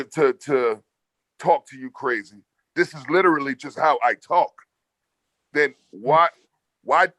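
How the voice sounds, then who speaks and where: A middle-aged man with a bass-heavy voice, arguing loudly and assertively in a casual panel debate. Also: dry recording, low-quality recording. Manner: loud, assertive, argumentative. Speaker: podcast panelist.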